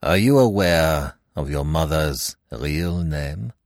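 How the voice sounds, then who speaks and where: A man voicing a calm, fatherly detective, speaking quietly and in a measured way. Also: extremely low pitch, dry recording, clean studio sound, full character voice. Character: famous little Belgian detective, calm and fatherly.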